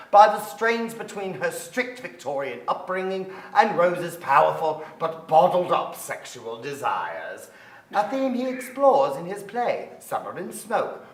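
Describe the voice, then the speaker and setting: Male stage reader, middle-aged, dramatic script delivery, fairly high voice with lively swings. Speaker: actor in a staged reading. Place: theatre stage before an audience. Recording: roomy theatre stage sound.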